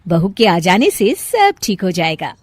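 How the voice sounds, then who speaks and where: A woman's voice: on the low side, very animated, measured pace, breathy edge, no echo. A woman storyteller reading scripted narration for a moral bedtime story.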